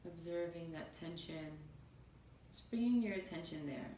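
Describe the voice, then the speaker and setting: Low-voiced woman guiding meditation in a roomy space. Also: warm tone. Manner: soothing, unhurried phrases with pauses. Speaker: yoga and meditation teacher. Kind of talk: guided meditation, instructing. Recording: roomy, distant mic, faint noisy rumble.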